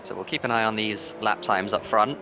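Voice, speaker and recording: A man commentating an online racing league in a measured, analytical way, reading out gaps and lap times. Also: lowish voice, fairly even intonation, highs cut off. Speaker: lead commentator. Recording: clear background noise.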